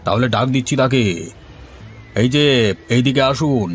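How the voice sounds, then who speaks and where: A man's voice: mid-range voice, normal speed, lightly acted. A man voicing soothing, persuasive village men who explain and advise, in a Bengali fairy-tale cartoon. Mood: coaxing. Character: placating village husband and kindly advising doctor. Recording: music under the voice.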